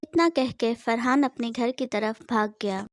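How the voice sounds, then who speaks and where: A woman narrates a scripted horror tale. Her voice sits fairly high, and the sound is very dry, with no room around it. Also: unhurried, no room sound. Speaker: story narrator.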